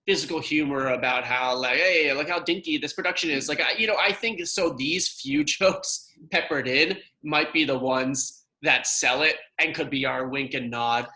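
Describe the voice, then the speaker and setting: A man's voice: a little high, moderate pace, few pauses, bright, forceful tone, low-quality recording. A man in a casual group discussion as a cast member, talking in a thoughtful, hesitant way as he thinks aloud. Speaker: cast member in a production meeting.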